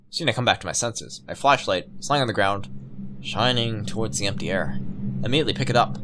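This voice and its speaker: A man's voice: on the low side, background noise. A man hosting a gaming video, reading a horror visual novel aloud as dramatic narration.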